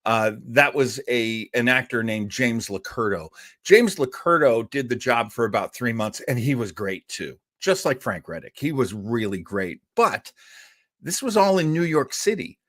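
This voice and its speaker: A man hosting an old-time radio show, telling its history informally, with emphatic pauses and repetition. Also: medium pitch, expressive, a bit bright. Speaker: host presenting old-time radio shows. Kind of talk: informal storytelling lecture.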